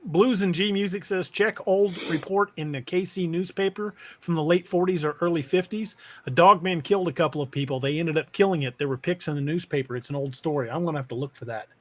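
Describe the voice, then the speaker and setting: Man, fairly high voice, podcast host, casual livestream talk, comment reading. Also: a little fast, a bit bright.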